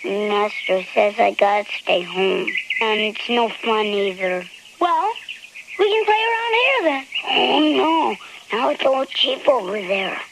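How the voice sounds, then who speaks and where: A performer voicing an innocent, eager young animal in an animated film, childlike and guileless, with an American accent. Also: mid-range voice, takes it slowly, creaky, very noisy. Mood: glum. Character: eager, innocent young fox and hound puppy. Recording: music behind the voice.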